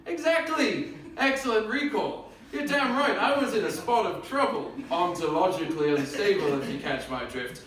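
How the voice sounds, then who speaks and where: A man's voice, fairly high for a man, tears through an intense, rambling comic routine full of tongue-twisting wordplay. He switches back and forth to play both sides of a squabble. The sound bounces around a very reverberant venue, picked up from some distance by the mic. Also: expressive, moderate pace, few pauses, a bit bright. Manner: acts out both sides of an argument. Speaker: spoken-word performer. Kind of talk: live spoken-word comedy set. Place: live event venue on stage. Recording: very echoey room, mic at a distance.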